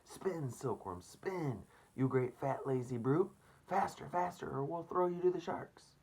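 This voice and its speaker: A man voicing a bossy, frantic storybook centipede who yells orders and insults. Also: on the high side, animated, a little slow, gravelly, slightly rough, pitched above the performer's own voice, full character voice. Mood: frantic, bullying. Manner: exhorting, yelling.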